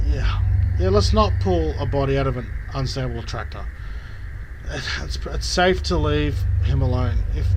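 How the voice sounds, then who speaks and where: A man's voice: mid-range voice, background noise. A man hosting a story game, reading its text aloud dramatically and commenting informally. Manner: informal, swearing, thinking out loud. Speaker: gaming video host.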